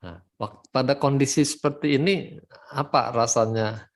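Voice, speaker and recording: A man's voice: mid-range voice, normal speed. A man with an Indonesian accent hosting a conversational interview, asking follow-up questions and restating answers. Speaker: host of a testimonial livestream, addressed as doctor.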